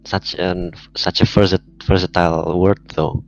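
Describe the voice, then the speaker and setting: A man's voice: low-pitched, level tone, moderate pace, creaky, muffled recording, soft background music. A young man arguing a point in casual podcast chat, joking and stubborn. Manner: argumentative, joking. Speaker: podcast co-host. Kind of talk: casual chat among friends.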